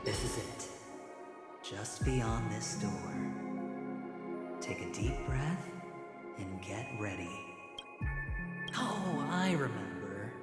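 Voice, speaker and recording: A man's voice: fairly low pitch, animated, extremely slow, pauses often. A man voicing a suave, scheming gambler-businessman in a video game, teasing and theatrically polite with playful menace. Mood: teasing, ominous. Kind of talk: dramatic video game dialogue. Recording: echoey reverb under loud game music.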